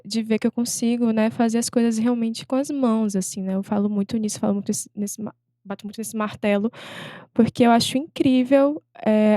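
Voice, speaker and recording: A young woman speaks as a podcast guest, her voice mellow and soft-edged. She talks in a thoughtful, searching way, circling back on her words. The sound is dry and close. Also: on the high side, no echo. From Salvador, Brazil. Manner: reflective, many fillers and restarts. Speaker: guest artist. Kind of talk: casual interview chat.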